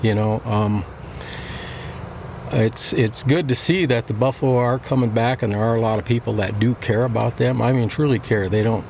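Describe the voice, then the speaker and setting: A man giving reflective interview answers in a thoughtful, hesitant way with many pauses and fillers. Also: mid-range voice, steady tone, creaky, darkish tone, slight background noise.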